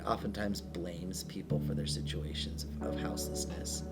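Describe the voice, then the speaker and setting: A man's voice: sits low, normal speed, music in the background. A man giving reflective, explanatory answers in an unscripted interview.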